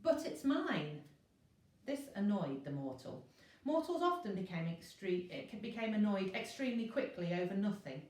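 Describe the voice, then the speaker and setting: A woman, a teacher, reading a children's novel aloud in an expressive storytelling style. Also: mid-range voice, normal speed. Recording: roomy echo, distant microphone.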